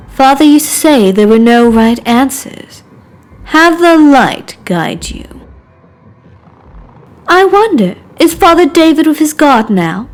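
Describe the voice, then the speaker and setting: A woman playing a cruel, mocking young aristocratic lady, prim, taunting and theatrical, as a game character. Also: a little high. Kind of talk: game character voice acting reel.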